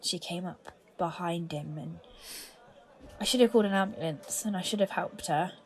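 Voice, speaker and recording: An actress playing a guilt-ridden, vengeful police colleague hiding a crime, emotional and halting, in an audio drama. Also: female voice, fairly low pitch, close to an everyday voice. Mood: guilty, halting.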